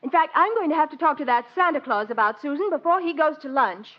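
A woman acting in 1940s radio style as a brisk, skeptical store executive and mother who gets flustered easily. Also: sits high, expressive. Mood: brisk, exasperated. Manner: businesslike, skeptical, hesitant when flustered. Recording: muffled vintage 1940s radio recording.

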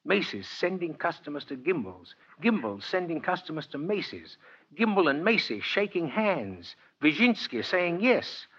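An older male actor playing a kindly, stubborn old Santa Claus in 1940s radio drama, with a husky voice and a genial, hesitant manner. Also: on the high side, sing-song, normal speed, fairly bright tone, full character voice. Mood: earnest, wry. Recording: dull vintage 1940s radio recording.

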